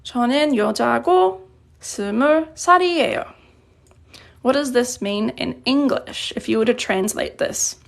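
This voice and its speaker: A woman's slightly high voice with a noticeable gravelly fry runs through this live lesson. She explains sentence by sentence, praising viewers' answers and taking their questions as she goes. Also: lively intonation, brisk. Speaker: Korean language teacher. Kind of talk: live online grammar lesson. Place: indoors at a desk. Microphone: phone camera above a desk.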